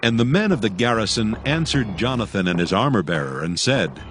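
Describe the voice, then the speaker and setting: A man narrates scripture in a solemn, dramatic storytelling style. His voice is low and measured. Music is clearly heard beneath the reading. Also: sing-song, fairly bright tone.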